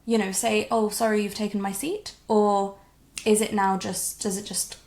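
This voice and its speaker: A woman's voice: medium pitch, flat delivery, moderate pace, darkish tone. A woman whose first language is Hindi, hosting a podcast and encouraging her guest with frequent short affirmations. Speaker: podcast host. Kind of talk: casual interview conversation.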